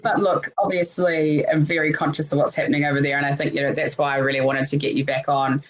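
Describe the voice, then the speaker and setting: Host with a New Zealand accent, middle pitch, chatty, lo-fi call audio. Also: little pitch movement, fast talker, a bit bright. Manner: chatty, many fillers like "um" and "look". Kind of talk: casual interview over a live video call.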